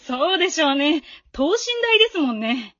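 An amateur actress playing a friendly, encouraging female fan who talks politely and gives gentle advice in a radio drama. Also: sits high, big pitch swings, normal speed, no room sound, highs cut off. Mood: admiring. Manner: polite, supportive, gently advising.

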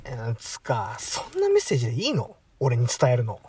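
A man's voice: mid-range voice, wildly swooping pitch, brisk, a little mellow, a little hiss or hum. Guy doing a grumbly sceptical teen boy, swings up and down a lot, bit far off mic, radio drama. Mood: bewildered. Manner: blunt, incredulous, grumbling. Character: teenage high school boy, sceptical, bewildered and irritated. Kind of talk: amateur radio drama acting.

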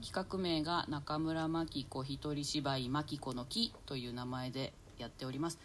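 A woman with a deep voice gives an announcement as a guest actor, polite and formal in her wording. There is audible noise behind her. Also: steady tone, normal speed. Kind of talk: self-introduction and announcement. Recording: clearly noisy background.

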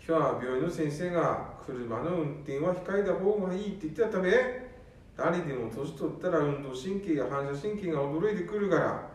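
A man's voice: a little high, normal speed, natural voice. A man playing an earnest eldest son who pleads with his father in a Nanbu dialect. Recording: echoey room, distant mic.

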